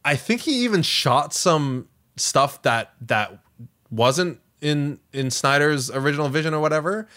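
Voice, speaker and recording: A man's voice: on the high side, a little slow. A man co-hosting a podcast in casual chat about tech and entertainment, rambling and often correcting himself. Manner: animated, rambling, self-correcting. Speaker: podcast co-host.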